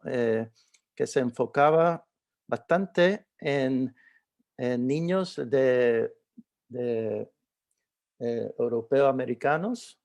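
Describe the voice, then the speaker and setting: A middle-aged man from Puerto Rico giving an academic talk in a webinar, speaking haltingly with many fillers and restarts. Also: mid-range voice, a little mellow. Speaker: guest speaker, researcher.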